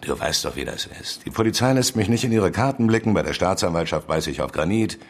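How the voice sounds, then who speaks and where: A seasoned male reporter in a radio drama, with a low voice that moves around a lot in pitch. He is dry, streetwise and curt, always probing, with noise and ambience behind him. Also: animated, fast. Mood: dry, insistent. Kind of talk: naturalistic German radio drama dialogue.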